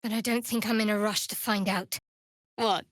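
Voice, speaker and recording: An actress voicing a guarded, wry female cleric of a dark goddess in a fantasy video game, with a cool, measured, sardonic delivery. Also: medium pitch, fairly even intonation, no echo, studio quality, lightly acted. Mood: dry, suspicious.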